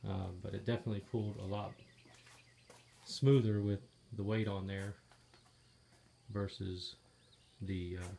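A man casually narrating, step by step, the assembly of a trailer he is building, talking in a plain, matter-of-fact way with some halting pauses, filmed on a handheld phone in a garage. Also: fairly low pitch, little pitch movement, vocal fry, warm tone, slight background noise. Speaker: DIY video maker. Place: garage or shop and outdoors by a trailer. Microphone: handheld phone camera.

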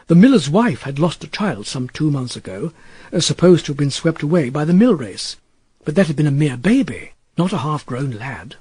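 A man reading literary fiction as an audiobook narrator, in a wry, expressive storytelling manner. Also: a little high, slightly rough, no room sound. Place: dry studio.

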